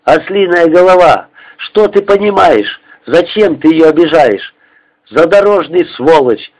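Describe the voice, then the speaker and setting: A man's voice: a little high, lively intonation, measured pace, a little mellow, voice pushed up, poor audio. A man voicing an angry young soldier who sternly scolds a comrade, barking commands and insults in a war story. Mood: indignant scolding.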